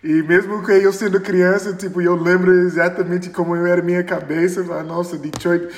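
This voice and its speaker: In an echoey, reverberant room, an American man of forty reminisces as a podcast guest. His voice is fairly high, and as a native English speaker he phrases things haltingly, with non-native grammar. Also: little pitch movement. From Chicago, United States. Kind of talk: casual recollection in interview.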